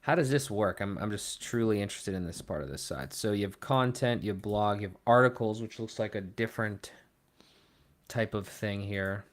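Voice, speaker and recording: A young man from Pittsburgh hosting a casual livestream, thinking aloud and trailing off into low mumbles. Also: moderate pace. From Pittsburgh, Pennsylvania. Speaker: livestream host. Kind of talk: casual live co-stream chat. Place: small room at a desk.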